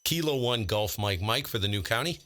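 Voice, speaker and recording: A man's voice: fairly low pitch, lively intonation, brisk, crowd noise. An American man live-streaming ham radio with casual, blunt, slangy commentary and radio calls. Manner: blunt, slangy, exasperated grumbling.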